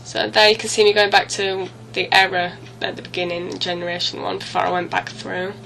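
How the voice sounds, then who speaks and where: A woman's voice: fairly low pitch, little pitch movement, a little fast, gravelly, noisy background. A woman getting over a cold gives casual, rambling, apologetic let's play commentary, often correcting herself as she goes. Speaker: gaming video host. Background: music.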